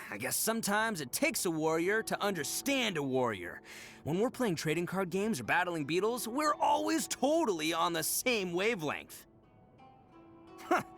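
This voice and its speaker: Young male oni gang boss, high-pitched, hugely swooping, goofy, boastful, slangy, video game character. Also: fairly bright tone, full character voice. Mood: fond, sincere, then jealous, petulant. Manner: boisterous, boastful, slangy, theatrical swings.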